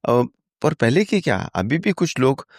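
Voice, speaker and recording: A man's voice: mid-range voice, sing-song, measured pace, dry recording, studio quality. Older Indian man explaining in conversation. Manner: explanatory, illustrates with anecdotes and asides. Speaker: podcast guest. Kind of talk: conversational storytelling, mixing Hindi and English.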